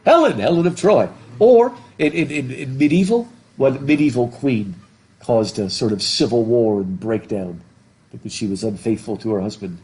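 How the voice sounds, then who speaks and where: A middle-aged man lectures a classroom in front of a blackboard, his mellow voice thick from a stuffed up nose. He jokes, laughs and asks the students questions as he goes. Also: mid-range voice, expressive, moderate pace, low-quality recording. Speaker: professor. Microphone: laptop webcam.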